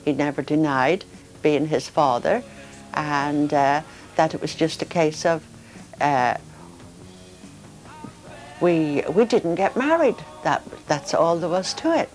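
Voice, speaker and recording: Soft-spoken older woman reminiscing. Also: low voice, expressive, takes it slowly, long pauses, faint music behind, low-quality recording. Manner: soft, hesitant, emotional reminiscing. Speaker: interviewee, mother of the subject. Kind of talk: documentary interview.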